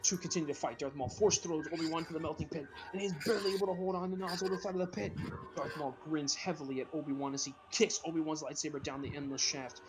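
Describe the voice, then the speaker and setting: A man's voice: fairly high pitch, fairly even intonation, fast. Man narrating screenplay directions over background noise. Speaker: narrator of a group script reading. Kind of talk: reading screenplay directions aloud.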